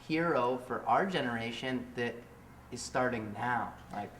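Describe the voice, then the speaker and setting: A man's voice: medium pitch, measured pace, fairly bright tone. A man on a casual review panel making his points emphatically. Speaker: comic review show panelist. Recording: echoey room, distant mic, faint noise.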